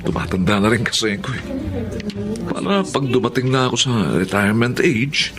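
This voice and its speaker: An actor playing an earnest, dutiful grown-up youngest child in a Filipino radio drama, speaking deliberately. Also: male voice, medium pitch, lively intonation, music in the background, close to an everyday voice. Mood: confident, sincere. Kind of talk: Tagalog radio drama acting with English phrases.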